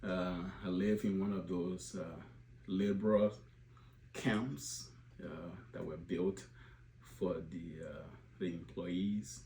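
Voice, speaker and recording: A deep-voiced man in his forties speaks slowly and haltingly to the supporters of his organization, full of filler sounds and restarts. The recording is roomy, with a faint hum behind him. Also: fairly even intonation, dark tone. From Liberia. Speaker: organization leader addressing supporters. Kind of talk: unscripted video address.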